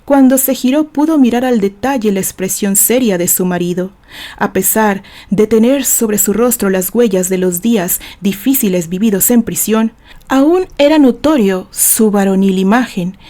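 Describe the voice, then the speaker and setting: A woman with a Mexican accent narrating a dramatic romance story in an expressive, theatrical storytelling manner. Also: medium pitch, moderate pace. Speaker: author narrating own story.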